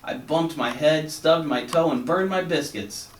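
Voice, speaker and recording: A man voicing an old male frog sea captain who talks in hearty, loud exclamations. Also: a little high, unhurried, pitched above the performer's own voice, close to an everyday voice. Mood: grumbling. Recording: roomy, slightly distant, faint hum.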